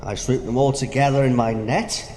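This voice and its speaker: An actor playing Death personified as a sly, taunting figure with grandiose, mocking declamation in a stage-like audio drama. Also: male voice, mid-range voice, steady tone, moderate pace, darkish tone, lightly acted. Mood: wry. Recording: echoey hall, faint noise.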